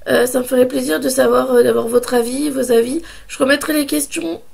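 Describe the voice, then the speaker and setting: A woman in her early twenties, hoarse from a sore throat and a cold, talking in a hesitant casual vlog with many pauses and restarts. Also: high voice, fairly even intonation, darkish tone. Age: 20s. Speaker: vlogger.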